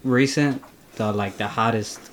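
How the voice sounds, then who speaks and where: A man's voice: lowish voice, measured pace, a little hiss or hum. A man working as an interviewer, asking prepared questions in a casual, informal style.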